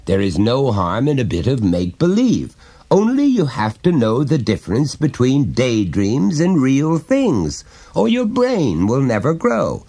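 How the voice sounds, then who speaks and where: A man's voice: mid-range voice, wildly swooping pitch, unhurried, mellow, husky, pitched above the performer's own voice, full character voice. A man reading an audiobook, voicing a pompous middle-aged father who lectures his son in a condescending way. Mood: lecturing. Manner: condescending, explaining at length.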